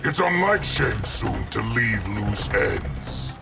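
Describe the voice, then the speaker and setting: Gravelly, menacing villain general. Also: male voice, fairly low pitch, big pitch swings, takes it slowly, full character voice. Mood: cold menace. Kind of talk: video game cutscene acting. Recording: loud music behind the voice. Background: loud dramatic music.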